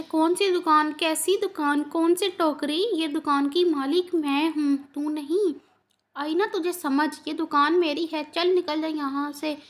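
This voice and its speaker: A woman voicing a greedy, scheming female bird in a cartoon story who talks rudely and scolds. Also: sits high. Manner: scolding, rude.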